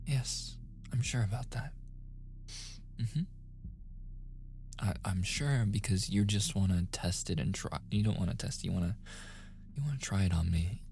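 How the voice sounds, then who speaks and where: A man playing a flustered, submissive young boyfriend in a soft-spoken ASMR roleplay, stammering and sighing, often slipping into whispers. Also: lowish voice, moderate pace, pauses often, slight background noise. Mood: embarrassed, refusing. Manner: stammering, sighing, breathy asides, protesting. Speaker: audio roleplay voice performer.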